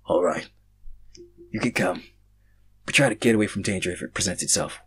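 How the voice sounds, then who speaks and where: A wary male fantasy tactician, acted out by a man reading a story, lowish and husky. He questions people dryly and teases a little. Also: a little fast. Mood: firm, wary.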